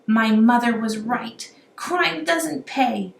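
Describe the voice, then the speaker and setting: A woman voicing a panicky male robber in a children's story, with shrill, drawn-out whining. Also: creaky. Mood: panicked wailing. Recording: roomy echo, faint background noise.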